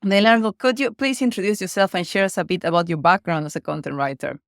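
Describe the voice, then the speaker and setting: A woman's voice: fairly low pitch, quick pace, dry recording, clean studio sound. A woman from Argentina with an Argentine Spanish accent, a native Spanish speaker, hosting and interviewing on a podcast. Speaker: podcast host.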